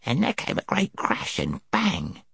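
A man's voice: medium pitch, wildly swooping pitch, measured pace, gravelly, fairly bright tone, breathy edge, dry recording, studio quality, voice pushed up, exaggerated voice. A man voicing a small, timid, servile gnome in a storybook, with a squealing, whimpering, chattering delivery. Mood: eager storytelling. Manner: servile, rambling, wide-eyed.

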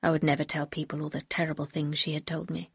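Woman voicing a low, bitter, anguished husband. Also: little pitch movement, quick pace, gravelly, husky, dry recording, studio quality, full character voice. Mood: bitter, pleading. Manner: grave, confessional, bitter. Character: bitter, anguished upper-class husband confessing dark secrets. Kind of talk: audiobook character dialogue.

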